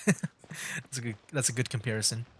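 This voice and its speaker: A man's voice: mid-range voice, a little slow, background noise. A man doing casual, rambling livestream commentary on a game, sometimes reading text aloud. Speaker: livestream gamer, VTuber. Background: game music.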